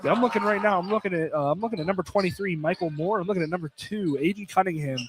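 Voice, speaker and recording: Male radio sports announcer, notably high voice, clipped basketball play-by-play over clear gym noise. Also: rapid-fire. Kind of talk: live basketball radio commentary. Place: indoor college field house, courtside. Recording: noisy live gymnasium broadcast.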